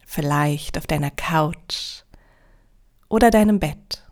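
Slow-paced woman guiding relaxation. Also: low-pitched, gravelly. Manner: calm, soothing, measured with long pauses. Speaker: yoga nidra guide. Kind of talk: guided relaxation meditation.

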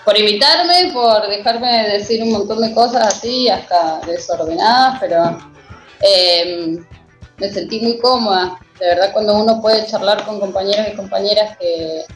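A woman's voice: mid-range voice, unhurried, echoey room, soft background music, low-quality recording. A woman from Mendoza with a Cuyo Argentine accent, a radio columnist chatting casually, effusive and slangy. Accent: Cuyo (Mendoza) Argentine Spanish.

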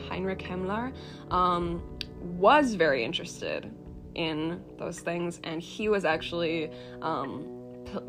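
A young woman with a stuffy, sniffly nose talks casually to camera, her voice sitting a little low. She fills her talk with ums, sarcastic asides and the odd swear word. Music plays clearly underneath. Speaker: tarot reader and video creator.

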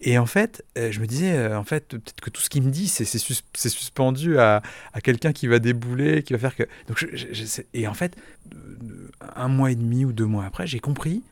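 Man, mid-pitched voice, dry close sound, casual, halting speech full of restarts. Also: lively intonation, no room sound. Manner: hesitant, many restarts and repeated words. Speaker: interviewee. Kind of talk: casual spoken recollection.

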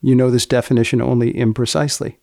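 A man with a gravelly, creaky voice hosts a podcast in a dry, deadpan manner. He words his scripted humorous narration with care and sits at an average male pitch. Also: no echo. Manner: deadpan, wry, precise, deliberate wording. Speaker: podcast host.

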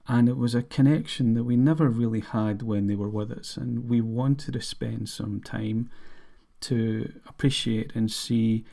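A middle-aged man with a Scottish accent, hosting a podcast monologue in an earnest, measured way. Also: on the low side, gravelly, warm tone. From Scotland. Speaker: podcast host.

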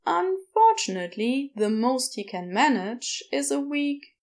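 A woman with a fairly high voice reads fiction as a narrator. She takes it very slowly, telling the story in a measured, wry way. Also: sing-song, darkish tone.

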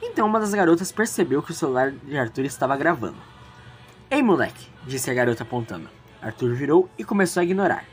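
Low-voiced Brazilian woman narrating, lively swings. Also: quick pace, few pauses, soft background music. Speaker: narrator of an audio drama. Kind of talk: storytelling narration, loosely scripted.

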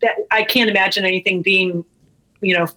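A woman's voice: medium pitch, fairly even intonation, a little fast, sharp tone, low-quality recording. A woman talking candidly and informally as a guest in a casual interview. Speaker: guest, brewery co-owner.

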